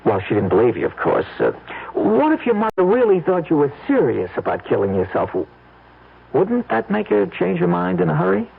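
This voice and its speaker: A young American husband in an old radio drama, nervy and scheming. His voice is rough and creaky and jumps about in pitch. He stammers and doubles back as he coaxes, heard through a muffled vintage broadcast. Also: wildly swooping pitch, fairly quick, exaggerated voice. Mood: urgent coaxing. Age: young adult. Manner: stammering, repeats words.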